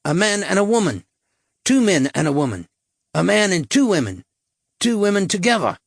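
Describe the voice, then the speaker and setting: Male reader doing a brash tout, higher voice, oily salesman patter, dry sound. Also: sing-song, moderate pace, creaky, no echo, full character voice. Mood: wheedling. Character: sleazy male London street tout, pushy.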